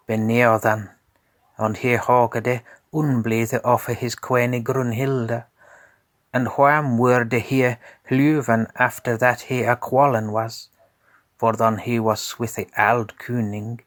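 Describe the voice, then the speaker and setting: A man narrating a scripted tale as a storyteller in a measured, deliberate way. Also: mid-range voice, level tone, a bit bright, dry recording.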